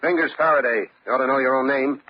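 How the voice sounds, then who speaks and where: An actor playing a shrewd, weary veteran police lieutenant in an old radio crime drama, dry, businesslike and pressing. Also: male voice, mid-range voice, fast talker, natural voice. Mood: accusing, pressing. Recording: dull old radio recording, faint noise.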